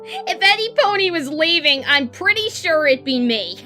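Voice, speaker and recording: Cocky hot-tempered girl pony, really high sharp voice, kinda rough edge, blunt. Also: big pitch swings, normal speed, faint music behind, exaggerated voice. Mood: amused. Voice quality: rough-edged. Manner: blunt, confrontational, emotional. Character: brash, hot-tempered young female pegasus pony. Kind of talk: audio drama of cartoon fan fiction.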